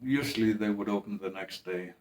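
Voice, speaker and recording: A man speaking in a halting, hesitant way, with restarts and long pauses, in a personal monologue. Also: on the low side, a little hiss or hum. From Greenland. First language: Danish.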